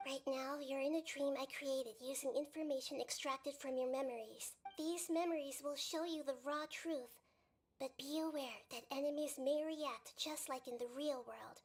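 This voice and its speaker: A woman voicing a small, wise girl goddess who speaks gently and calmly, often close to a whisper. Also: high voice. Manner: soft, measured, often near a whisper. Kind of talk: game cutscene dialogue.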